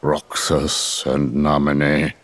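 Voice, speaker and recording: An actor playing a remorseful, stiffly formal scientist in a video game cutscene, pleading and defending himself. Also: male voice, extremely low pitch, completely flat delivery, slow, a bit bright, no echo, heavily acted.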